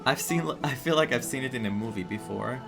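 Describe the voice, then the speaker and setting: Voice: mid-range voice, a little fast, vocal fry. A game streamer from Greece giving casual commentary and reacting aloud while playing. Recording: game music behind the voice. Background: game music.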